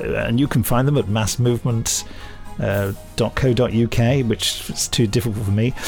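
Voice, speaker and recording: A middle-aged man with a lively, up-and-down delivery hosts a casual podcast chat. He jokes at his own expense, laughs often, and a faint bed of music sits beneath his voice. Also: mid-range voice. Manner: jokey, self-deprecating, laughs often. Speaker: podcast host. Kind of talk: casual banter reading listener reviews.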